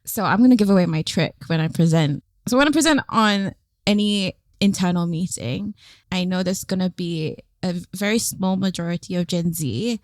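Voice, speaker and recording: A woman's voice: on the low side, moderate pace, no echo, clean studio sound. A woman from Singapore, a podcast guest, chatting casually with frequent "like" fillers. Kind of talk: casual chat.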